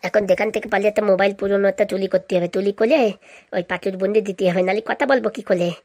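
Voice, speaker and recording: A woman's voice: mid-range voice, fairly even intonation, fast, a little mellow, no room sound, lightly acted. A woman voicing a mischievous, scheming boy in a cartoon, with a sly, blustering, slangy manner. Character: scheming, mischievous boy, petty thief and braggart. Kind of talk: cartoon voice acting.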